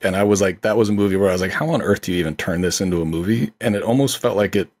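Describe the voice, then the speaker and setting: A man co-hosting a casual chat, enthusiastic and quick to agree. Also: low-pitched, darkish tone. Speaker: podcast co-host.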